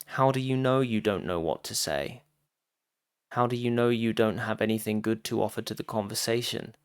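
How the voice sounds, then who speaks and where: A man giving a guided meditation talk as a teacher, phrasing deliberately with frequent pauses and addressing listeners directly. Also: fairly low pitch, no room sound, clean studio sound.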